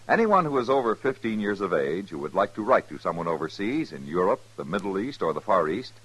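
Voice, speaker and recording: A man's voice: on the low side, a bit bright, husky, low-quality recording. A man with an American accent reading a script as a formal, emphatic 1950s radio announcer. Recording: old radio broadcast, faint music.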